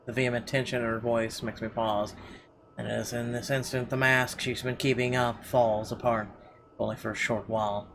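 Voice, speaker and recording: Even-toned man narrating, noisy background. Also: mid-range voice, normal speed. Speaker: gameplay host reading the story aloud. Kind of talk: reading game narration aloud. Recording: clear background noise, game ambience.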